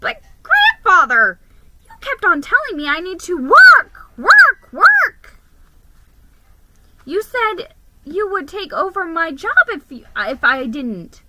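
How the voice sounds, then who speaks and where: A woman's voice: very high-pitched, wildly swooping pitch, slow pace, very noisy, voice pushed up, exaggerated voice. A woman voicing an anxious, flustered male mouse newspaperman in a storybook, exclaiming and pleading. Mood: confused, protesting.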